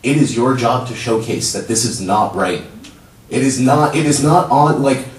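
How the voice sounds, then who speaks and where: A young man whose first language is Urdu, speaking in a halting, pleading way at a public comment podium in a council chamber. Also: mid-range voice. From child of immigrants. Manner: halting, many restarts, rising to pleading urgency. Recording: roomy hall, distant mic, faint hum.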